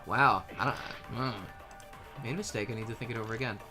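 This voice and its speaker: A man's voice: mid-range voice, quick pace. A man playing and dubbing a video game in casual group banter, switching between chat and read lines. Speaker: player and fan dubber of a video game. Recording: music playing behind the voice. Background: game music.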